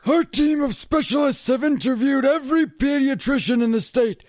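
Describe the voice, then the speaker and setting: A man puts on an officious medical official for a radio comedy. The voice is strikingly high and dry, declaiming with formal importance. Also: normal speed, darkish tone, no echo. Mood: accusing. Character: solemn disease-control doctor's statement, read out. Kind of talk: comedy radio theater acting.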